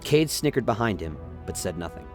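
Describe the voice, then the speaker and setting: A man's voice: fairly low pitch, big pitch swings, fairly quick, soft background music. A man narrating a science-fiction radio play in a dramatic, scripted storytelling style. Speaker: narrator, lore reader.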